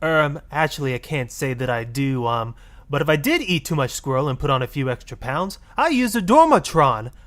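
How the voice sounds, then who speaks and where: A man voicing a glib, dismissive radio talk show host with a deadpan, salesmanlike manner. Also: fairly high pitch, normal speed. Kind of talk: satirical call-in radio presenting.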